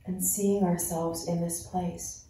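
A woman leading a guided meditation in a soothing, unhurried manner with long pauses between phrases. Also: fairly low pitch, little pitch movement, vocal fry, dark tone. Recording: very echoey, reverberant sound.

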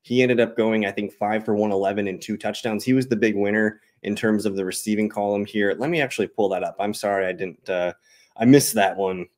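Low-voiced man talking fantasy football. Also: fairly even intonation, a little fast, a little mellow. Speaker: fantasy football show host. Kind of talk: casual sports analysis, reading out stats.

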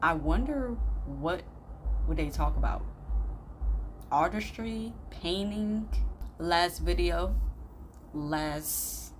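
A young woman host giving casual, slangy, halting reaction commentary that often repeats itself. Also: on the low side, lively intonation, faint music behind. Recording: noisy room recording.

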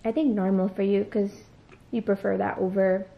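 A woman's voice: mid-range voice, little pitch movement, moderate pace, gravelly, muffled recording, heavy background noise. A young woman hosting a home vlog, chatting with her partner in a bubbly, teasing way. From Philippines. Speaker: vlogger, host. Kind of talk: casual vlog chat. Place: home kitchen and living room, indoors.

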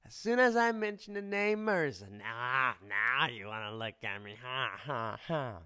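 A man's voice: mid-range voice, animated, unhurried, pushed and bright, clean tone. A man playing a cocky, teasing fire-wielding boyfriend. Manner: teasing, playful, drops to close whispers. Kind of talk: ASMR boyfriend roleplay.

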